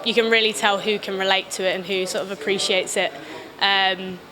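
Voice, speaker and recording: A woman's voice: medium pitch, fairly even intonation, a little fast, over music, low-quality recording. A British woman giving casual interview answers as a film director, in a hesitant, rambling way. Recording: clear background noise.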